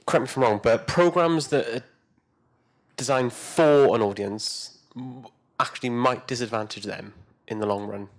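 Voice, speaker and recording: A man's voice: medium pitch, moderate pace. A man in an audience politely asking a speaker to clarify a point. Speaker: audience questioner, museum staff member. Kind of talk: polite question at a talk.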